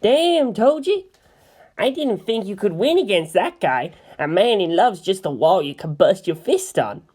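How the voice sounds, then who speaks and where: A woman's voice: mid-range voice, sing-song, a little slow, darkish tone, breathy edge, pitched above the performer's own voice. A woman voicing a nervous, geeky teenage schoolboy sidekick in a fan fiction reading. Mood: amazed.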